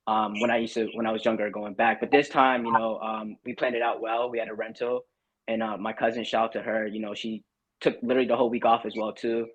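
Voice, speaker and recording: Relaxed young man from Barbados chatting. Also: lowish voice, fast, breathy edge, dull audio. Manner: relaxed, rambling with many fillers. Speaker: podcast guest. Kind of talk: casual chat.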